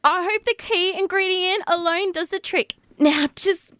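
A woman's voice: extremely high pitch, a little slow, a bit bright, no echo, clean studio sound. A woman playing a scheming, vain and ruthless perfume-obsessed woman in a theatrical comedy radio sketch. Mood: frantic, menacing. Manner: theatrical, feigned innocence.

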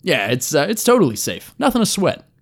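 A man's voice: fairly high pitch, wildly swooping pitch, fairly quick, voice pushed up, full character voice. Guy doing a breezy adventurer sidekick, slangy n flippant, fantasy story.

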